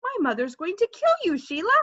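A woman's voice: extremely high pitch, sing-song, brisk, fairly bright tone, muffled recording, pitched above the performer's own voice, heavily acted. A woman voicing a blunt, bossy, exasperated schoolboy, the older brother in a storybook. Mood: scolding.

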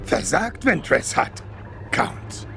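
An actor voicing a tiny, ancient, wise alien Jedi master, croaky and gravelly, musing in inverted word order. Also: male voice, on the high side, big pitch swings, slow pace, pushed and bright, faint music behind, full character voice. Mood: stern, calm.

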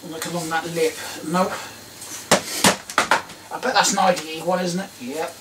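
A man's voice: a little high, steady tone, moderate pace. A middle-aged man with an English accent, thinking aloud casually and questioning himself as he works. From England. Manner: muttering to himself, self-questioning. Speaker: video creator tinkering with old electronics. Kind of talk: casual thinking-aloud commentary while working. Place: small tiled indoor workshop. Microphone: camera mic a little away. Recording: distant mic, noisy workbench clatter. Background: clear noise, clicks and clatter of tools.

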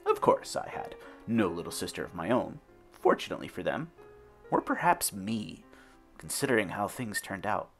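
A man's voice: on the low side, very animated, moderate pace, soft background music. A man giving a wry, theatrical first-person reading of his own fan fiction. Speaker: narrator.

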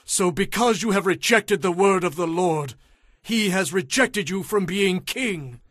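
Casting: righteous, stern prophet for a Bible audio drama, high male voice, commanding, declamatory, dry sound. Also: a little slow, a bit bright, no echo. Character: stern, righteous Hebrew prophet rebuking a king.

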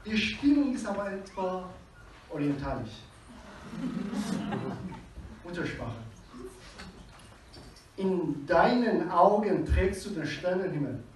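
A man with a Persian accent reading poetry slowly on a small stage, with measured, dramatic pauses. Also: sits high, very animated, a little mellow, a little hiss or hum. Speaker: poet. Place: small venue with a live audience. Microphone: handheld mic on a stand, recorded from far in the room. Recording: echoey room from far off.

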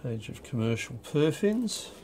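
A man talking through a stamp auction lot in a dry, unhurried way, with wry asides, recorded over a desk. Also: mid-range voice, sing-song, a little mellow, a little hiss or hum. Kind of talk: off-the-cuff lot walkthrough. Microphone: overhead camera on a desk.

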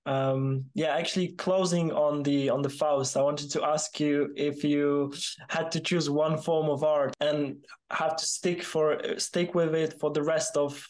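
Young male interviewer, Polish accent, slightly high voice with little pitch movement, hesitant phrasing. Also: little pitch movement, measured pace, a little mellow. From Poland. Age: 20s. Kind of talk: conversational video interview. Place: small room, indoors, video call.

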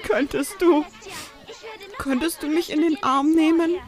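A woman's voice: high voice, fairly even intonation, a little slow. A woman voicing a young, sassy, whiny pony princess with a theatrical, teasing manner in a fan audio drama. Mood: tearful, pleading. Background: background music.

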